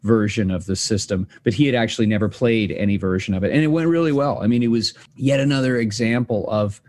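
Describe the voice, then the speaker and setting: A man's voice: on the low side, fast, a little mellow. A man hosting a podcast, chatting casually and rambling with fillers and restarts. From United States. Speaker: podcast host. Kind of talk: casual chat.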